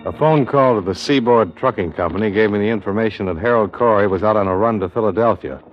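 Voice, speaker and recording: An American actor playing a cool, hardboiled insurance investigator in a 1950s radio drama, clipped and matter-of-fact. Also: male voice, fairly low pitch, quick pace, lightly acted. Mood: dry, matter-of-fact. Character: cool, hardboiled male insurance investigator, narrating his cases. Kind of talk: 1950s radio detective drama acting and narration. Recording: dull old radio recording, music underneath.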